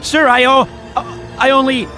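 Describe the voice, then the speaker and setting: Game casting: cowering, apologetic little man, sharp high voice, faltering stammer. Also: level tone, measured pace, soft background music, exaggerated voice. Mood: terrified, pleading. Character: terrified meek man apologizing to a gangster. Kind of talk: video game cutscene dialogue.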